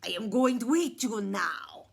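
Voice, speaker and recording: A woman voicing a hungry male coyote in a storybook, growling and speaking slowly and greedily. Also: on the high side, expressive, gravelly, breathy edge, exaggerated voice. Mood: menacing.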